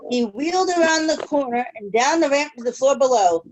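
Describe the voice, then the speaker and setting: A woman reading a picture book aloud to children in an expressive storytelling way over a video call. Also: high-pitched, brisk. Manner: expressive storytelling with questions to listeners. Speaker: children's story-time reader. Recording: noisy video call recording.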